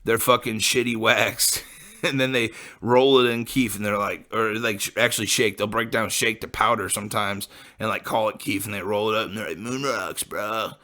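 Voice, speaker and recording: A man's voice: lowish voice, steady tone, moderate pace, sharp tone. A man in his late twenties from the American Midwest, rambling casually and profanely as a podcast host, full of fillers. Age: late 20s. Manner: laid-back, profane, full of likes and you-knows.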